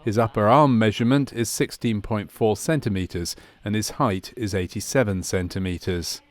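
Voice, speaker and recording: A man reading scripted narration for a medical training film in a measured, clinical way. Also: lowish voice.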